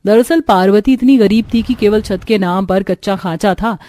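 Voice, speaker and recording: A woman's voice: mid-range voice, normal speed, mellow. A woman narrating a scripted cartoon story as its storyteller.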